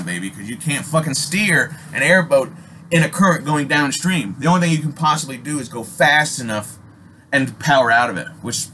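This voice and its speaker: Rambling, profane American storyteller with background noise. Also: male voice, medium pitch. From Alaska, United States. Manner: profane, animated, rambling, slangy. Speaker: storyteller, host. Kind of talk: casual personal storytelling, unscripted. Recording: noisy amateur recording with ambient sound.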